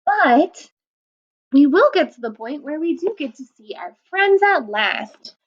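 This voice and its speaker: A woman reading a picture book aloud to children, explaining the pages in a gentle, patient way. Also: high-pitched, very animated, a little slow, dull audio.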